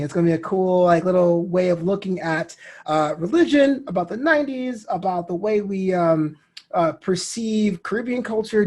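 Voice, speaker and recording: A man with a husky voice talking casually as an interview guest, telling things in an animated, informal way. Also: high-pitched.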